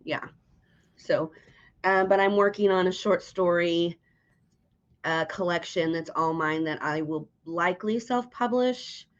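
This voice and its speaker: A woman's voice: lowish voice, unhurried, dull audio. A woman hosting a casual interview, speaking informally and correcting herself as she goes. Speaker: interviewer, host.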